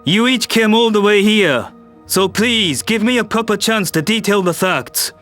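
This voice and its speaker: A man's voice: sits high, measured pace, fairly bright tone, soft background music. A man playing a harried human world leader, tense and exasperated.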